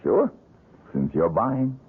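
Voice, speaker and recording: An actor playing a bitter, weary painter in a vintage radio drama, with a sardonic way of talking. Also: male voice, lowish voice, wildly swooping pitch, slow, breathy edge, heavily acted. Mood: bitter, guarded. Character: bitter, weary male painter, son of a dead actress. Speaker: painter character. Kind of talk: 1970s radio drama acting. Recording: tinny lo-fi old radio broadcast.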